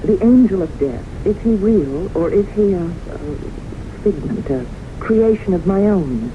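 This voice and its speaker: An actress playing a distraught widow of almost forty in an old-time radio drama, with an intense, halting delivery. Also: female voice, mid-range voice, animated, close to an everyday voice. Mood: grave, haunted. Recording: muffled, noisy 1970s radio recording.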